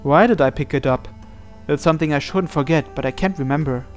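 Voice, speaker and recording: Guy with a highish voice reading visual novel narration out loud, soft game music behind. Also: brisk. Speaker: gaming video host.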